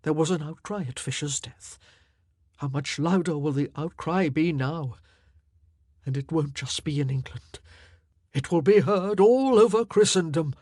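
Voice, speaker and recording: A woman voicing a fierce, grieving king in his forties who speaks loudly and commandingly. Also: low voice, measured pace, dry recording, studio quality. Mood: shaken. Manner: loud, commanding and wrathful.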